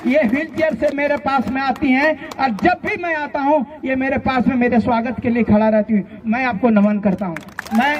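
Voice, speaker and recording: Very high-pitched man speaking at noisy rally. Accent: Jharkhand. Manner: colloquial, impassioned, addresses listeners directly with questions. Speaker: student leader and activist. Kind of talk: political rally speech to students. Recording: noisy outdoor rally, loud crowd behind.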